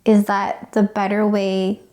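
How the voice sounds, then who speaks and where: A woman whose mother tongue is Cantonese co-hosts a relaxed conversation. She talks things through reflectively, pausing and restarting as she weighs her words. Her voice sits at an ordinary, middle pitch. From China. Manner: thoughtful, hesitant, reflective. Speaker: podcast co-host. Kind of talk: casual conversational podcast.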